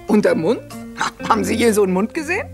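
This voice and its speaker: Lively, self-important cartoon lady anteater. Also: medium pitch, quick pace, gravelly, over music. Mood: proud, showing off. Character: chatty, vain, hungry lady anteater cartoon animal. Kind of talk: cartoon dubbing, talking to the viewer.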